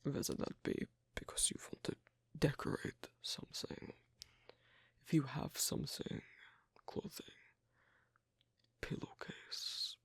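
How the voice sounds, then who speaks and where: A performer voicing an asocial werewolf in an ASMR roleplay, hesitant and gently instructive, in a whispery, breathy, creaky voice. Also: medium pitch, huge pitch swings, slow pace, no echo, clean studio sound, full character voice. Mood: patient, explaining. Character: asocial werewolf on a first date, patiently teaching sewing.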